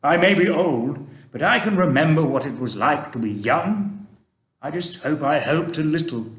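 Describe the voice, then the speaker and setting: A man putting on a kindly old gentleman tramp. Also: on the high side, expressive, moderate pace, echoey room. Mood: gentle, reassuring. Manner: old-fashioned, polite, fussy.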